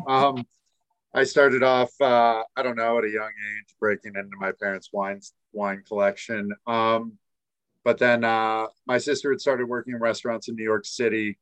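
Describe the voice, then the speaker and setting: A young adult man chatting as a guest in a laid-back, halting way. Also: lowish voice, little pitch movement, a bit bright, no echo, rough recording. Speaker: guest, restaurant wine buyer. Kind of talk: casual interview chat.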